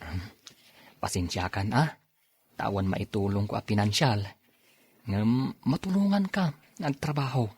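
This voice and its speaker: A man's voice: fairly low pitch, lively intonation, unhurried, pauses often, slightly rough, no echo, heavily acted. A man playing an earnest young provincial suitor in a radio drama, speaking with an Ilocano accent. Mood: gentle, helpful. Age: young adult. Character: earnest 24-year-old provincial man courting an older woman.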